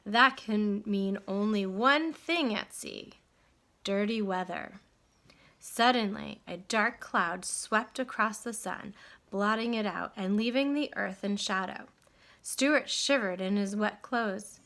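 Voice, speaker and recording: Bright-voiced woman reading a storybook, unhurried. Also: mid-range voice. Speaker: storybook reader. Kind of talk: expressive children's read-aloud narration.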